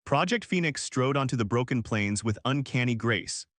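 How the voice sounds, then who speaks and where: A man reading a scripted science fiction war story as its narrator, in a measured, formal storytelling manner. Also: medium pitch, lively intonation, fairly bright tone, no room sound, studio quality.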